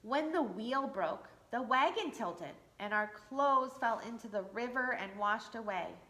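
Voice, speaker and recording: Brief: woman reading as an exhausted traveling father, slow, weary storybook voice. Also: a little high, expressive, pushed and bright, echoey room. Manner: tired, weary. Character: weary, downcast male wooden father.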